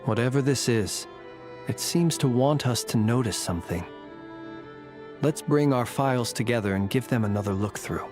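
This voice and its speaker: A man acts a composed guard investigator in a video game. His medium-pitched voice is measured and articulate, with a formal, serious bearing. Music plays clearly behind the dialogue. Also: natural voice. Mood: serious, analytical.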